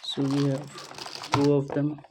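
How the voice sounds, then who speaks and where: A man casually talking through a product unboxing without a script, thinking aloud in a halting way as he goes. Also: fairly high pitch, monotone, background noise. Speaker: gadget unboxing vlogger. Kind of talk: casual unscripted product walkthrough.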